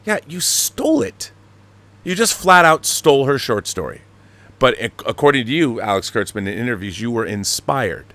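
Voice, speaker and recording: A man's voice: mid-range voice, very animated, normal speed, a bit bright. A man hosting a live talk show, giving emphatic, opinionated commentary with rhetorical questions.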